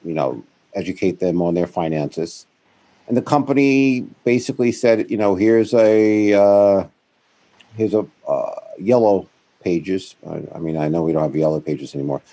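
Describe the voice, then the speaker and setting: Low, dark-voiced man telling his story. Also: moderate pace. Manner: rambling, self-correcting, animated when quoting others. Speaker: guest, founder of a financial firm. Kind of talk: conversational interview storytelling.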